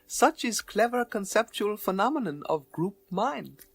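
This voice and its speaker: Husky little alien shopkeeper. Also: male voice, high-pitched, very animated, a little slow, no room sound, heavily acted. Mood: deferential. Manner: deferential, formal clipped grammar. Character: chubby little humble alien antique shop keeper. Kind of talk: radio play acting.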